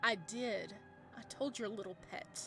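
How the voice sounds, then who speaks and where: A woman voicing a protective male living god in a game story, who speaks in a sharp, cold, clipped way. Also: medium pitch, unhurried, vocal fry, music in the background. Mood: angry.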